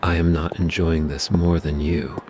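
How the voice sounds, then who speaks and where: A man's voice: very deep voice, flat delivery, a little slow, noisy background. Man voicing a cocky mafia boss. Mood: flustered. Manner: smug, teasing, turns flustered. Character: cocky possessive young male mafia boss. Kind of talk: ASMR roleplay.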